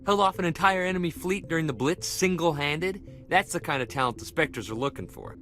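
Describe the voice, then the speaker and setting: An actor playing an excitable young space marine in a video game, earnest and keen, deferring to his commander. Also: on the high side, fairly quick, faint music behind, close to an everyday voice. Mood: eager, excited.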